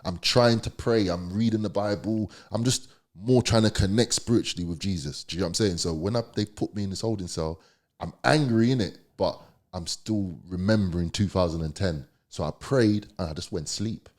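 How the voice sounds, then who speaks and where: Deep-voiced London man telling his story. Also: moderate pace, a little mellow. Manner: slangy, conversational, emphatic. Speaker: podcast guest. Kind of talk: candid storytelling.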